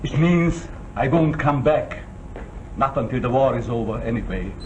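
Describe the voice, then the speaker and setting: An actor in a 1940s television drama playing an arrogant, domineering general who speaks in a blunt, pressing, commanding way. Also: male voice, medium pitch, lively intonation, moderate pace, natural voice. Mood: curt. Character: arrogant, domineering wartime general, an insistent seducer. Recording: muffled, noisy 1949 television soundtrack.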